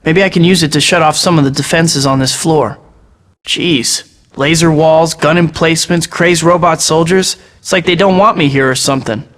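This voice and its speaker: An actor voicing a young masked superhero in a video game, talking himself through each task with wry jokes. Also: male voice, on the high side, normal speed, a bit bright, in a large hall, close to an everyday voice. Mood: wisecracking. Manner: wry, joking asides to himself. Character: young male masked web-slinging superhero, wisecracking. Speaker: video game hero voice clips. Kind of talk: game voice-over lines.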